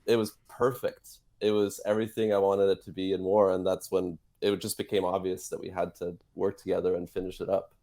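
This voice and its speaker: A man's voice: deep voice, fairly quick, creaky, hardly any pauses. A man from Norway, a musician and guitar teacher, chatting casually as a guest on a radio show, speaking over the phone. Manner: relaxed, chatty storytelling. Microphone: phone line.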